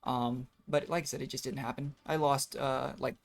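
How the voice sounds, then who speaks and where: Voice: medium pitch, flat delivery, normal speed, gravelly. A game streamer giving casual live commentary, thinking aloud in an offhand way. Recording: music behind the voice. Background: game music.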